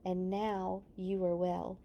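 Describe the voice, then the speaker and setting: A woman reading as a calm, gentle Jesus. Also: fairly low pitch, takes it slowly, creaky, a little hiss or hum.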